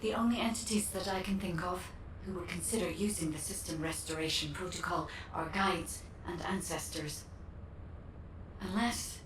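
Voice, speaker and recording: An actress voicing a calm, formal artificial guide in a game cutscene, speaking solemnly and precisely. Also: female voice, lowish voice, reverberant, recorded from a distance, a little hiss or hum, natural voice, low-quality recording. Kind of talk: scripted game cutscene acting.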